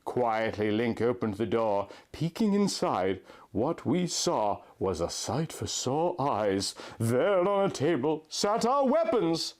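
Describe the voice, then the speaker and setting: A man's voice: mid-range voice, very animated, takes it slowly, hardly any pauses. A man reading a fan story aloud as host, with a mock-dramatic storybook delivery.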